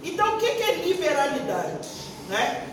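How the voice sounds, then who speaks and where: Older Brazilian woman, high voice, emphatic preaching panel talk, hesitant word searches, very echoey room. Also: very animated, normal speed. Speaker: pastor, forum panelist. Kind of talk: unscripted panel answer with preaching tone. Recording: echoey room, distant mic, faint noise.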